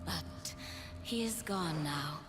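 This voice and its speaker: A woman voicing an ancient, cunning demon mother in a video game, solemn, slow and insinuating. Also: deep voice. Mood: coaxing, secretive. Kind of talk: dramatic video game acting. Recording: loud music behind.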